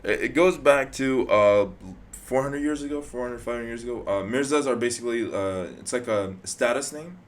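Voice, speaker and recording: A young man hosting a casual podcast chat, easygoing and quick to chime in with short agreements. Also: mid-range voice. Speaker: podcast host.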